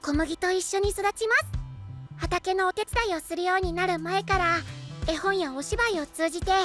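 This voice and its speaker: A man's voice: very high-pitched, moderate pace, bright, forceful tone. A man voicing a small, earnest boy knight in an anime-style audio drama, cute, polite and eager. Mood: bright, explaining. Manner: cute, polite, bubbly, refers to self by name. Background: background music.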